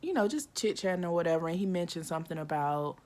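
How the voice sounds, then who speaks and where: A woman in her early forties talking casually and reflectively to camera, with many "like" and "um" fillers, acting out inner voices with sudden pitch jumps. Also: expressive, fast talker, darkish tone. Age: 40s. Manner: frequent "like" and "um" fillers. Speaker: vlogger.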